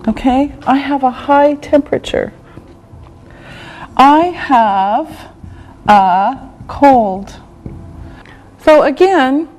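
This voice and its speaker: A woman teaching a classroom vocabulary lesson, saying each phrase slowly and repeating it. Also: high voice, a little mellow. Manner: slow, drawn-out repetition of words. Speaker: English teacher.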